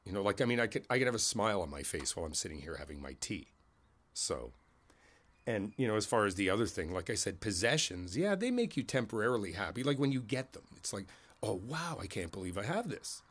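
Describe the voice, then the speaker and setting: A man playing a kindly older neighbor in an ASMR roleplay, reflective and candid, talking in a halting, conversational way and repeating his phrases. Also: lowish voice, big pitch swings, fairly bright tone. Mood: gently reflective.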